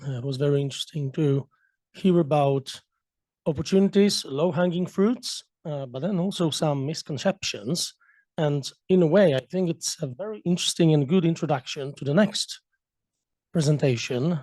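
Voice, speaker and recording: A man's voice: fairly high pitch, moderate pace, darkish tone, no echo. A man moderating a seminar, thanking one speaker and formally introducing the next.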